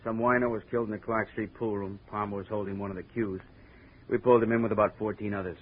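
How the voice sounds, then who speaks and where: A man's voice: lowish voice, little pitch movement, quick pace, natural voice. Offhand police lieutenant played by a man, muffled. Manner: offhand, slangy. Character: casual, world-weary male police lieutenant. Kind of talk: 1950s radio drama acting. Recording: muffled, faintly noisy vintage radio recording.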